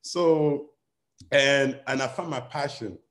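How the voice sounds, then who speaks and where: A young man chats as a guest, wandering through his thoughts with constant fillers. His voice sits at an everyday middle pitch for a man. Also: takes it slowly, fairly bright tone. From Nigeria. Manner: rambling, many "you know" fillers. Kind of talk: casual interview chat.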